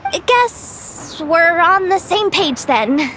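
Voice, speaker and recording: A flustered young guild worker in a video game. Her voice is extremely high and swings around expressively, anxious but kindhearted. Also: sing-song, takes it slowly, bright, forceful tone, slight background noise, heavily acted. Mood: protective. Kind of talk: video game character acting.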